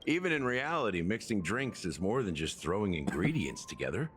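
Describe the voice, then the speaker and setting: A man playing a courteous, wry older bartender in a video game, measured and gentlemanly. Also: lowish voice, sing-song, slight background noise. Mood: wry, instructive. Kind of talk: video game character acting.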